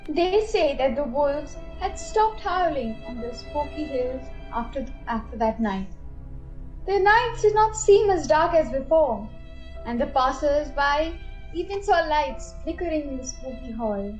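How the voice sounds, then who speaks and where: A woman's voice: high-pitched, lively intonation, measured pace, over music, rough recording. A woman narrating a scripted mystery story for an amateur radio play.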